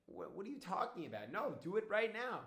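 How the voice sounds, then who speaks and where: Man voicing a loud, bossy, hot-tempered woman, echoey. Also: fairly high pitch, brisk. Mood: furious. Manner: loud, demanding, exclamatory. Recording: echoey room.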